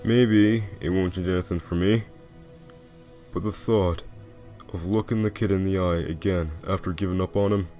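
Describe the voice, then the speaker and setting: A man voicing a lazy, wry skeleton joker with quiet menace in a comic dub, talking in a laconic, folksy way. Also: low-pitched, fairly even intonation, normal speed, faint music behind, close to an everyday voice. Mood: calm, resolute. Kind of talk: animated comic dub acting.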